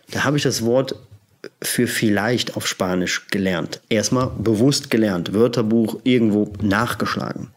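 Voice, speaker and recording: A young man, a native German speaker, hosting a relaxed podcast conversation and telling stories with lively imitations. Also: lowish voice. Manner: animated storytelling, imitating phrases. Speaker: podcast host. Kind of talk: casual conversation about language learning.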